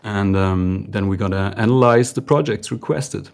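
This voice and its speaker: A man speaking as a guest on a podcast in a soft-spoken way, with slightly non-native phrasing. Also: on the low side, moderate pace, gravelly. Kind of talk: casual podcast chat.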